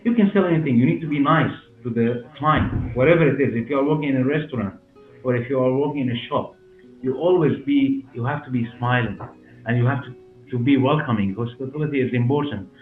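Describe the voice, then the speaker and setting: Man, forties, Syrian Arabic accent, halting non-native phrasing, interview answers, roomy sound, faint music behind. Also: mid-range voice, narrow, tinny audio. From Damascus, Syria. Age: 40s. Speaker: hair clinic director and interview guest.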